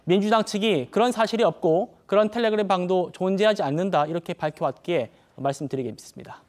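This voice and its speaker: A man anchoring a TV news talk show in a studio, with a measured and formal presenter delivery. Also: high-pitched, darkish tone.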